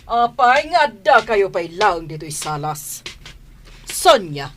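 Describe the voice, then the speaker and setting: A woman's voice: mid-range voice, very animated, slow, slight background noise. Woman acting a haughty, bossy mansion madam. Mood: scolding. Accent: Filipino. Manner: imperious, scolding. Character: haughty, bossy mansion mistress, mother of a grown son. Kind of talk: radio comedy drama acting.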